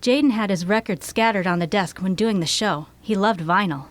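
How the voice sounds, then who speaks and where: A woman's voice: mid-range voice, normal speed, no room sound, natural voice. Woman as a firm, businesslike program director. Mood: matter-of-fact. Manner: official, matter-of-fact. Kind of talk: audio theater acting.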